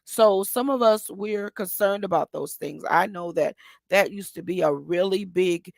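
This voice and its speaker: Hoarse woman host with a gravelly, dry sound; rambling and encouraging, many ums. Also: mid-range voice, measured pace, dry recording. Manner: encouraging, asks listeners to agree. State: hoarse today. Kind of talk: casual motivational talk.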